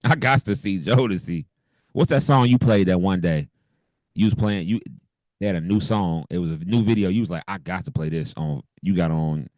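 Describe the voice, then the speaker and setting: A man co-hosting a podcast, chatting casually with loose slang and frequent laughs, his deep voice hoarse from a cold. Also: moderate pace, darkish tone, no room sound. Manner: laid-back, laughs often, loose slang. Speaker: podcast co-host. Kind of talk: casual chat.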